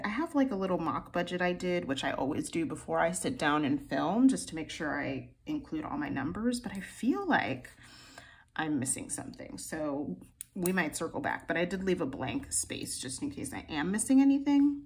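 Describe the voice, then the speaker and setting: Chatty woman with a husky voice. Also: fairly low pitch, very animated, moderate pace. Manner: chatty, playful slang, laughs often. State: groggy morning voice. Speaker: budgeting channel host. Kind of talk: casual chatty budget vlog. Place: home filming room, desk seen from above.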